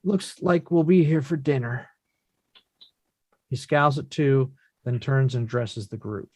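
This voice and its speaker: A man's voice: medium pitch, unhurried, darkish tone, slightly rough, no echo. A man reading screenplay action descriptions as scripted narration at a table read. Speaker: stage directions reader.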